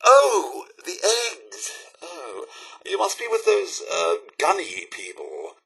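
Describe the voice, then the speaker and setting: A man's voice: fairly high pitch, huge pitch swings, slow pace, creaky, fairly bright tone, husky, exaggerated voice, low-quality recording. A man with a British accent voicing a prim, fussy, loyal robot butler in a video game, theatrical and formally polite. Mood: haughty, sardonic. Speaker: voice actor. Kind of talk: video game companion voice lines.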